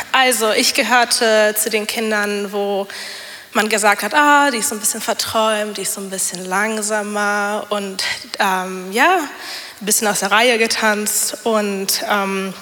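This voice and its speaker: A woman's voice: mid-range voice, a bit bright, long reverb tail. A young woman with a slight cold giving a personal testimony in church on a handheld microphone, chatty and full of fillers. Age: young adult. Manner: chatty, many fillers, self-deprecating laughs. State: has a cold. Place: church hall.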